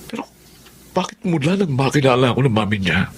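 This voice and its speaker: An actor playing a bewildered, devoted young boyfriend, earnest and troubled, in a radio drama. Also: male voice, medium pitch, big pitch swings, a little fast, a little hiss or hum, lightly acted. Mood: worried.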